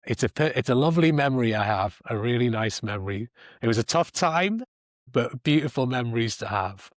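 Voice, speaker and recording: A man's voice: medium pitch, lively intonation, fairly quick, no echo, studio quality. A man hosting an interview podcast, talking reflectively and repeating words as he gathers his thoughts. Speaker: podcast host. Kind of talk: casual interview talk.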